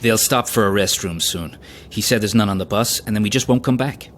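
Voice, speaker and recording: An actor playing an earnest, proper young newlywed husband in an audio drama, mild and natural in manner. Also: male voice, lowish voice, brisk, close to an everyday voice. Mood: bewildered. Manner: mild, prim, gently teasing. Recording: faint vehicle noise.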